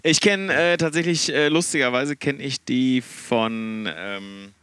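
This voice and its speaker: A man with a Ruhr German accent spins a long, meandering concert anecdote and restarts his sentences as he talks. His voice sits at an ordinary middle pitch. The recording is dry and close, with no room echo. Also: a little slow, clean tone. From Ruhr area, Germany. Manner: rambling, self-correcting, animated anecdotes. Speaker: podcast participant. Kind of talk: casual storytelling chat.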